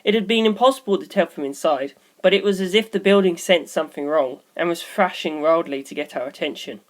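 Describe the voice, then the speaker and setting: A woman's voice: lowish voice, a little fast. A woman reading scripted story narration as an audiobook narrator.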